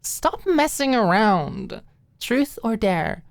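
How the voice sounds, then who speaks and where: A woman's voice: a little high, very animated, slow pace, no room sound, pitched above the performer's own voice, heavily acted. A woman voicing a giddy, playful young woman in an audiobook, tipsy and teasing, with a squealing, pouty manner. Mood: playful impatience. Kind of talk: audiobook character acting.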